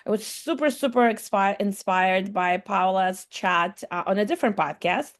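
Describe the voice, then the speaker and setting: Woman, podcast host, upbeat, bubbly, mid pitch. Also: moderate pace. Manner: upbeat, bubbly, "super excited". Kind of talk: casual podcast interview.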